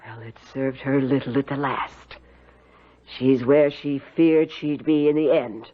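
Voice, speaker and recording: An actress playing a stern, bitter older housekeeper in a radio drama, with grave, emphatic delivery. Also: female voice, extremely low pitch, steady tone, a little slow. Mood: bitter, accusing. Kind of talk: 1970s radio drama acting. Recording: dull vintage radio recording.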